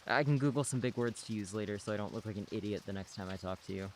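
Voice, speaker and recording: A young man playing a relaxed, friendly teenage boy neighbor in a radio play. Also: lowish voice, quick pace, a little hiss or hum, lightly acted. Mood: easygoing. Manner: relaxed, friendly, casual slang.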